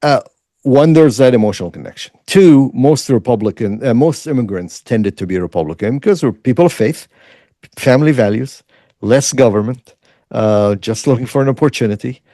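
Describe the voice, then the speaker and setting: A man's voice: medium pitch, expressive, moderate pace, warm tone, no echo, studio quality. A man, telling his story earnestly as an interview guest, with many fillers. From Lebanon. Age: 50s. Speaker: guest, former state legislator.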